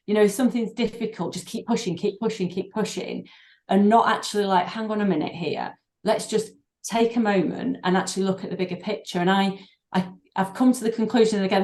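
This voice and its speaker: A middle-aged woman, a doctor and breathing therapist, speaking in a podcast interview, thoughtful and halting with many fillers and restarts. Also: mid-range voice, darkish tone. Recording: echoey room.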